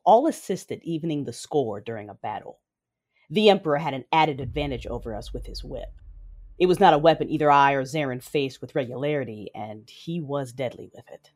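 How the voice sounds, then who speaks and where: A woman playing a determined saber-wielding warrior, narrating a duel in a measured, grim first person. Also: very deep voice, a little mellow. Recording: music behind the voice.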